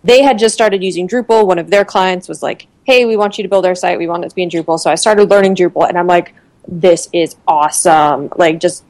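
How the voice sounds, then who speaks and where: A woman's voice: fairly low pitch, vocal fry, no echo. A woman in her thirties from Connecticut, a guest chatting casually in an interview, with lots of fillers and restarts. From Connecticut, USA.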